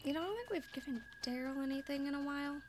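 A woman's voice: high-pitched, very fast. A woman giving casual let's play commentary on a farming game, musing aloud to herself as she plays. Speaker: streamer playing a farming game. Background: game music.

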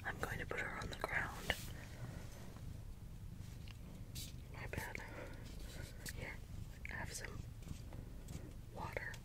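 A woman playing a gentle, earnest robot helper in an ASMR role play, soft, soothing and slightly stilted. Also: close to an everyday voice, rough recording. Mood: gentle, curious. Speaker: ASMR performer. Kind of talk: whispered ASMR personal-attention role play.

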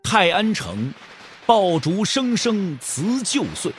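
A man narrating an audio drama with expressive, literary, scripted storytelling. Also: high-pitched, slow, faint music behind.

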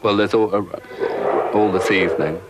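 A man's voice: on the low side, fairly even intonation, measured pace. A man in his thirties, a stage designer, talking casually in a documentary interview. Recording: clearly noisy theatre interview.

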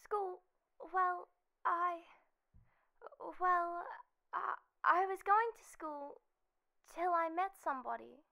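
A woman's voice: very high-pitched, slow pace, gravelly, long pauses, sharp tone, heavily acted. A woman voicing a naive, fibbing little wooden puppet boy with a stammering, pleading manner in a children's radio play. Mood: nervous, evasive.

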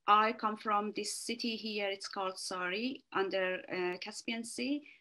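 A woman from Iran with an Iranian accent, giving an academic talk over a video call, halting, with many fillers and restarts. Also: medium pitch, fairly even intonation, bright, forceful tone. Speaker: research fellow presenting a webinar talk. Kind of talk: online academic presentation.

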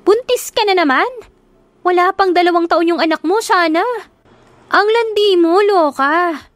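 Young wife for an audio drama, high and scolding, voiced by a woman narrator. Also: measured pace, no room sound. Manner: loud, scolding, teasing. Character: young wife, new mother, teasing.

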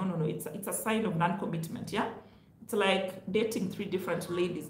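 Kenyan woman in an echoey room. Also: medium pitch. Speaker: host, careers advice vlogger. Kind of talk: casual advice talk to viewers. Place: small indoor room, home office.

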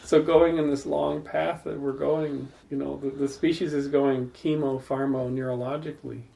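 A man's voice: medium pitch, flat delivery, normal speed, gravelly, mellow, low-quality recording. A man hosting a casual salon gathering, talking thoughtfully in long rambling stories, recorded indoors in a living room. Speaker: salon host. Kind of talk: reflective storytelling in casual group talk.

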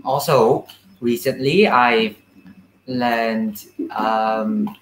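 A young man giving careful, halting interview answers in formal wording from a room over a video call. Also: medium pitch, fairly even intonation, echoey room, slight background noise, low-quality recording. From Vietnam. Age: young adult. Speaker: puppeteer, guest. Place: small indoor puppet room. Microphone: webcam at a little distance.

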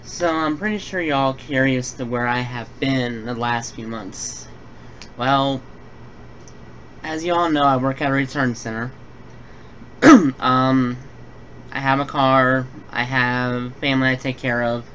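A man's voice: medium pitch, takes it slowly, pauses often, fairly bright tone. Rambling man on a dull home mic. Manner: rambling, many fillers. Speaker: gaming channel host. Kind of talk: casual tutorial and personal update. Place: home room. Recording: dull lo-fi home recording with faint hiss.

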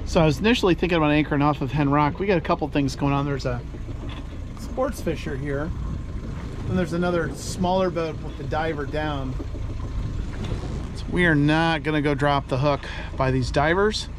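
A man casually narrating his sailing trip from aboard his boat, in a relaxed, chatty way. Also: a little high, normal speed. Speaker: sailing vlogger. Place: outdoors on a sailboat at anchor. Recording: noisy outdoor boat recording.

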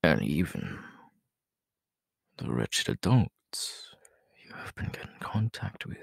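A man playing a sly, teasing, menacing villain in an ASMR audio roleplay, breathy and often slipping into whispers, close on the microphone. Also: lowish voice, no echo, full character voice. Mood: quiet threat. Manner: slow, drawn-out, teasing. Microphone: close microphone, dry.

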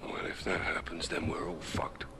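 An actor playing a gruff, commanding special forces captain in a military video game, giving terse orders. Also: male voice, extremely low pitch, little pitch movement, moderate pace. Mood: grim, determined. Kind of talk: cinematic military game acting. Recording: game audio with clear background noise.

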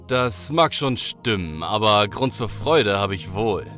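A man's voice: on the low side, expressive, slow, sharp tone, soft background music, full character voice. A man playing a smug, scornful thunder god in an audio drama, haughty and mocking. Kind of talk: German audio drama acting.

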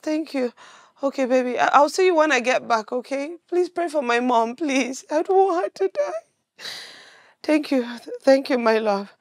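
A woman's voice: high-pitched, lively intonation, moderate pace, dry recording, clean studio sound. An actress with a Nigerian accent playing a distraught, pleading girlfriend in a film drama, repeating herself as she begs. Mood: panicked, pleading. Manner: pleading, repetitive.

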